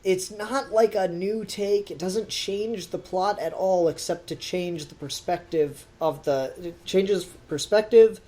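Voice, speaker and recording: A man's voice: sits high, slow, hardly any pauses, dark tone. A man hosting a podcast in a casual, rambling review style with a wry, sarcastic edge. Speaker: podcast host.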